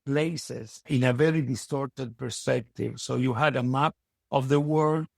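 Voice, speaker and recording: Creaky-voiced man with strong Italian accent. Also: mid-range voice, steady tone, unhurried, darkish tone, no echo, studio quality. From Italy. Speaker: podcast guest and university educator. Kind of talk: conversational interview.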